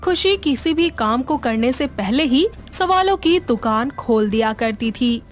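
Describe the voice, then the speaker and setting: Female narrator for scripted moral stories, slightly high pitch, music underneath. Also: expressive, unhurried.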